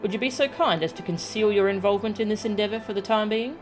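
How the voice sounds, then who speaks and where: A woman voicing a courteous, solemn fantasy leader from a video game in formal, measured phrases, with music behind her. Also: medium pitch, voice pushed up, lightly acted.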